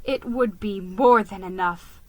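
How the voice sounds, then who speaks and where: Slow, dramatic woman storyteller. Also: medium pitch, no room sound, poor audio. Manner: expressive, theatrical. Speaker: storybook reader. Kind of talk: dramatic reading of a children's story.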